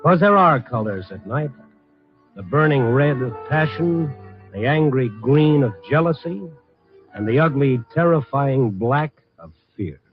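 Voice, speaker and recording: A man's voice: mid-range voice, lively intonation, unhurried, close to an everyday voice. Hard-boiled American night columnist played by a man, wry and dramatic, muffled vintage radio sound with faint music. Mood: brooding, wry. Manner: wry, streetwise, dramatic storytelling. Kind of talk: 1950s radio drama narration.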